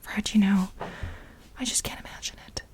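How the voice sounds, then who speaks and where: A woman playing an obsessive, scheming coworker pining for her married boss, whispering dramatically and insistently in a soft-spoken ASMR role play. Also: close to an everyday voice. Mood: pitying, flattering. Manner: breathy whispering, dramatic, insistent, with halting repetitions.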